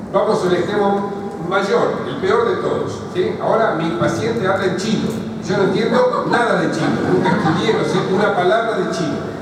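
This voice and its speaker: Argentine guy teaching a seminar, kinda high voice, sounds far off in a big echoey hall with noise. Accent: Argentine Spanish. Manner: explanatory, keeps asking "¿sí?" and "¿está?" to check in. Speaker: psychoanalyst lecturing a seminar. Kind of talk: seminar lecture answering a question. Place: large university lecture hall.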